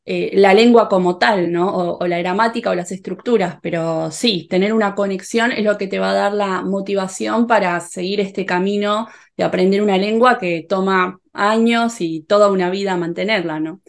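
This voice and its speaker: Young female Argentine host, Rioplatense accent, calm and measured interviewer. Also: mid-range voice, vocal fry. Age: young adult. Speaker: host and Spanish teacher. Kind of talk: interview over video call. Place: home room with bookshelves.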